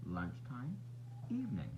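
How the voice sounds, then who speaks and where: Male storybook reader, instructive, expressive, swooping intonation, muffled sound with faint noise. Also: medium pitch, measured pace. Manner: expressive, instructive, talking to young children. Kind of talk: children's read-aloud narration.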